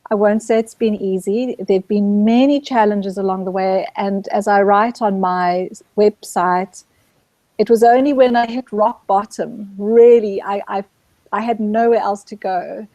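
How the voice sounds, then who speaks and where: A middle-aged woman from Zambia, a guest speaking gently and reflectively about her spiritual search, often pausing to restart her thought. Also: medium pitch, fairly even intonation, no room sound. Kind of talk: reflective spiritual interview talk.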